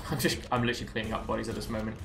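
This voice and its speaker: A man's voice: fairly low pitch, little pitch movement, rapid-fire, vocal fry. A man with a Southeast English accent tinged by American speech, giving chatty, rambling live commentary as he plays a game, with wry self-mocking asides. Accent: Southeast English, slightly Americanized. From England. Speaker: game streamer. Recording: roomy echo with game music behind. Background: game music.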